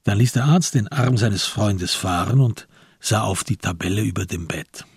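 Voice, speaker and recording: A man reads scripted literary narration for a radio crime drama. His voice is low and very husky, recorded close and dry, and he tells the story in a measured way. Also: no echo.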